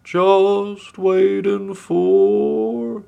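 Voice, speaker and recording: A man's voice: high-pitched, level tone, drawn-out words, creaky, warm tone, dull audio, voice pushed up, exaggerated voice. A man voicing a greedy storybook pig who draws out words with feigned innocence. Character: greedy pig, lazily singsong, bursting into gleeful shouts.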